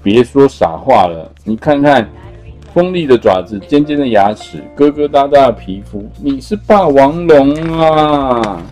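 A big, sly male tyrannosaurus, as a storyteller puts him on speaks with gloating emphasis and sly chuckles. His voice sits a little raised and loud, and he pushes every point hard. Faint music runs under the storybook reading. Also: medium pitch, lively intonation, unhurried, voice pushed up, close to an everyday voice.

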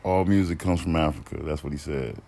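A man with a very deep, bass-heavy voice, co-hosting a podcast in casual chat. His delivery is laid-back and playful. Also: a little fast, creaky, mellow, a little hiss or hum. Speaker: podcast co-host.

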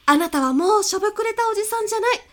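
A woman's voice: very high voice, animated, very fast, heavy background noise. A woman playing a pushy, self-assured business coach and hypnotist in a comedic radio drama, teasing, condescending and dramatic. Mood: incantatory, grandiose.

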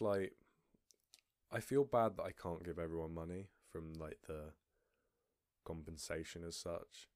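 A man's voice: sits low, no echo, studio quality. A young man talking casually on his own podcast, rambling with frequent restarts. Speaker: podcast host. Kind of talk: casual solo podcast monologue.

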